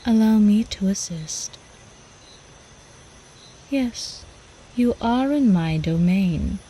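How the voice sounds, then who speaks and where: Lady acting as an old vampire countess for asmr, slow soft mellow murmur, refined and teasing, crickets behind. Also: mid-range voice, expressive, husky, exaggerated voice, poor audio. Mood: silky, possessive. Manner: slow, refined, coyly teasing, formal old-fashioned diction.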